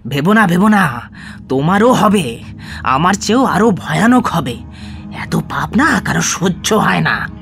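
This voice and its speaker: Sick jealous husband, strained high voice, swinging all over, taunting and spiteful, audio drama. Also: male voice, big pitch swings, unhurried, creaky, fairly bright tone, soft background music, exaggerated voice. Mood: gloating, vengeful. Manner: accusing, taunting, gloating. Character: bitter, suspicious, diseased husband cursing his wife.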